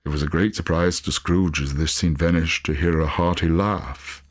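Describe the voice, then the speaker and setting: A man reading a literary story as an audiobook, with measured, theatrical phrasing. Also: rumbling low, steady tone. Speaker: audiobook reader.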